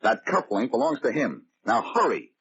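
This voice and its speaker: An actor playing a brash, confident young amateur detective with a glib, sardonic American delivery in a 1940s radio drama. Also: male voice, mid-range voice, huge pitch swings, normal speed. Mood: brisk, insistent. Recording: muffled, noisy old radio recording.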